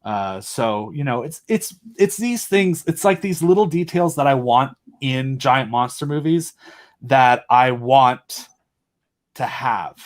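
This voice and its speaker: A man's voice: medium pitch, animated, slow. A man talking as a guest in a casual chat, enthusiastic and rambling, with lots of fillers and restarts. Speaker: podcast guest and audio drama creator.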